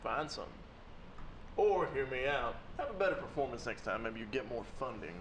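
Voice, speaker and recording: A man's voice: mid-range voice, lively intonation, brisk, reverberant, background noise. A man playing a tabletop game, teasing and dry as he speaks in character and describes his hero. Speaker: player.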